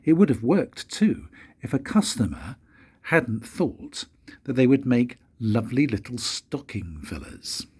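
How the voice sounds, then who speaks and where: An older man, a grandfather, reading a children's storybook aloud in an expressive, unhurried way with dramatic pauses. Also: mid-range voice, slightly rough. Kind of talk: storybook narration.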